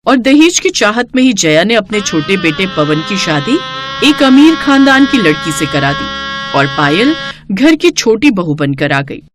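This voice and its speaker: A woman's voice: medium pitch, very animated, a little slow, over music. A woman narrating a scripted moral story in a storyteller's style.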